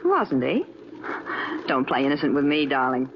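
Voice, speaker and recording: Catty female rival, American, sardonic and condescending, very lively pitch, vintage radio drama on a dull recording. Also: moderate pace, noisy background, full character voice. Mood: catty, taunting. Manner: sardonic, condescending, mock-sweet endearments. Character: catty, taunting woman, scornful romantic rival. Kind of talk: 1940s-50s radio drama acting.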